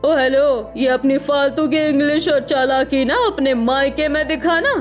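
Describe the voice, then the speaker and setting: A woman's voice: high voice, fairly even intonation, measured pace, faint music behind. A woman voicing a blunt, feisty aunt in a cartoon family drama, brusque and confrontational. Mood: threatening. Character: blunt, feisty aunt, protective daughter of the house.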